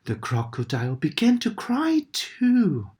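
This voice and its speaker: Low-voiced, expressive bedtime storyteller woman. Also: measured pace. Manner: expressive, dramatic, dips into whispers. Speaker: parent reading a storybook aloud. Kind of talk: read-aloud bedtime story narration.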